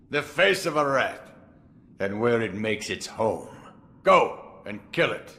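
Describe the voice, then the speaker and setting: An actor playing a gruff old swordsman in a game cutscene, sly and relishing, with sudden loud outbursts. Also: fairly low pitch, big pitch swings, takes it slowly, heavily acted. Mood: gruff theatrical. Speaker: mentor recruiting the hero. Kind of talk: video game cutscene acting.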